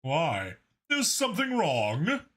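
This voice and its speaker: A man's voice: on the high side, huge pitch swings, takes it slowly, a bit bright, full character voice. A man voicing an outgunned alien captain in an audiobook, politely placating and feigning innocence. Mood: feigned innocence.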